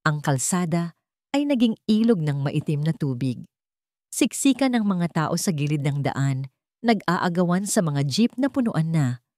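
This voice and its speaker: Woman narrating drama with animated pitch swings. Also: no echo, studio quality. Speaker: story narrator. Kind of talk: dramatic scripted storytelling narration.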